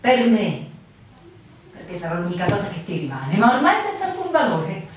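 An actress playing an anguished, suspicious young barmaid wounded in love, in a theatrical radio drama manner with dramatic pauses. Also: female voice, mid-range voice, wildly swooping pitch. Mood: bitter, wounded. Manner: emotional, dramatic pauses, swinging intensity. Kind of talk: theatrical stage-style radio drama acting. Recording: very echoey room, distant mic, faint noise.

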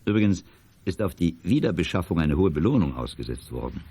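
Deep-voiced, formal auction house director. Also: male voice, very animated, dark tone, husky, exaggerated voice. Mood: earnest, confidential. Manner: formal, measured, polite. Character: courteous, businesslike male auction house director, discreet and earnest. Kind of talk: 1980s children's audio drama acting.

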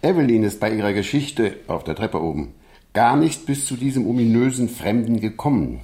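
Actor as a shrewd low-voiced sleuth. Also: male voice, lively intonation, normal speed, dark tone, natural voice. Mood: thoughtful. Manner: considered, knowing. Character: shrewd, reflective male sleuth, sure of himself. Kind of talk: 1970s German radio crime drama acting.

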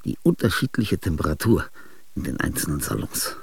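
Gravelly ailing old genius. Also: male voice, a bass voice, big pitch swings, normal speed, no room sound, full character voice. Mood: confiding. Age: older. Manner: confiding, with sudden outbursts and whispers. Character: ailing aging male genius, restless and grandiose. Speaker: actor in radio drama. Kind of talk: theatrical radio drama monologue.

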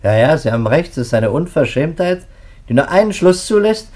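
An actor playing a sceptical, methodical police detective in a 1950s radio play, speaking in a clipped, businesslike manner. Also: male voice, a little high, sing-song, brisk, darkish tone. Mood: pondering, insistent. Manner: businesslike, clipped, rattles off facts.

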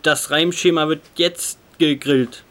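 A man with a slightly high voice works through comedy takes slowly. He halts and repeats lines, with faint noise around him. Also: fairly even intonation, a bit bright. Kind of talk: recorded comedy takes with restarts.

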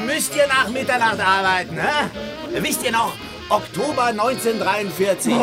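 An actor playing a boastful, jovial film-world reveler hosting a party in a radio drama, exclamatory and theatrical. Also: male voice, sits high. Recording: radio play party with music, crowd.

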